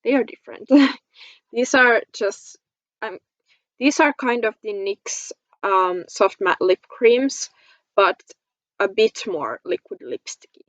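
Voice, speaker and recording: A woman's voice: mid-range voice, lively intonation, slow pace, fairly bright tone, no room sound. A woman from Finland chatting casually about products as a beauty vlogger, in a gushing, giggly manner. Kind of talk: casual product review chat to camera.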